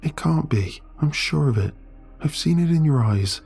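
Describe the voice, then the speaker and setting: A man's voice: on the high side, lively intonation, normal speed, creaky, darkish tone, slightly rough, soft background music, voice pushed up, full character voice. A man voicing an earnest teenage boy who pleads urgently with a friend. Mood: pleading.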